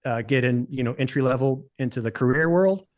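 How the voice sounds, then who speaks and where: A man in his forties from the United States, talking as a podcast guest. He speaks in a relaxed, meandering way, filled with "uh" and "um", at a plain middle pitch. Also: a little fast. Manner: relaxed, rambling, many fillers. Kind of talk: casual interview chat.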